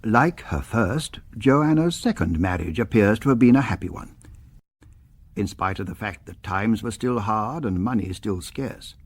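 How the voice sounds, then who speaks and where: An actor playing a formal, deliberate narrator of a historical crime account in scripted radio narration. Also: male voice, fairly low pitch, animated, slightly rough. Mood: grave, measured.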